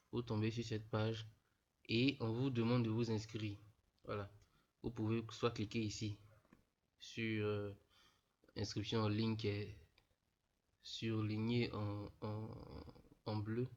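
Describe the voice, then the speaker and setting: A young man with a cold, walking students through an online registration step by step, repeating himself often. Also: on the low side. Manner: repetitive, peppered with "voilà", addresses students informally. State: stuffy from a cold. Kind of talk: casual explanatory screen tutorial. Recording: dull lo-fi voice-over.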